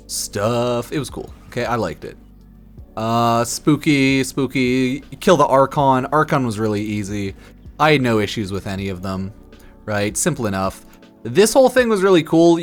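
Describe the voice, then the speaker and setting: Blunt, tipsy man rambling over music. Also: medium pitch. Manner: blunt, profane, opinionated. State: a bit drunk. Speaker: game streamer giving a story review. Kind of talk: casual, rambling stream commentary. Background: music under the voice.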